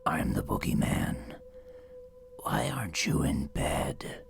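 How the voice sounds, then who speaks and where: A masked boogeyman from a horror audio drama, whispers with calm, righteous menace. The voice is very deep and breathy, slow and deliberate, with a rough creak. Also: background noise, heavily acted. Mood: hushed menace.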